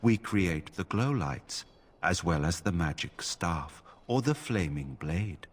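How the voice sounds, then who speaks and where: An actor playing an emotionless, formal tranquil mage in a fantasy game, speaking in a measured, detached manner. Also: male voice, low-pitched, lively intonation, full character voice. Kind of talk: scripted fantasy game dialogue.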